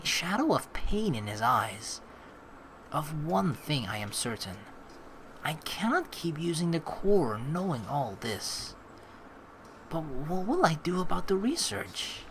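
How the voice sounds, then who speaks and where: Let's player, medium pitch, reading aloud and commenting casually, rambling, faint background noise. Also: a bit bright. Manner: rambling, halting when thinking aloud.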